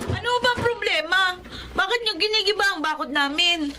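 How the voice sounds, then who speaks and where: A woman's voice: very high-pitched, expressive, normal speed, pushed and bright, heavily acted. A woman playing a young orphaned girl in a Filipino melodrama, earnest, emotional and pleading. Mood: indignant. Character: distressed young orphaned girl standing up to a bully. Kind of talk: Filipino melodrama audio acting.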